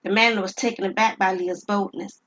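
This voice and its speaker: A woman's voice: mid-range voice, fairly even intonation, moderate pace, fairly bright tone, lo-fi sound, a little hiss or hum. A woman narrating a scripted dramatic story as the storyteller.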